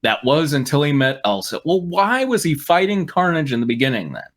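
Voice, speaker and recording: Man reading a story with lively pitch. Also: fairly quick. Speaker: reader on a fan fiction comedy show. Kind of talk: story read aloud with mocking asides.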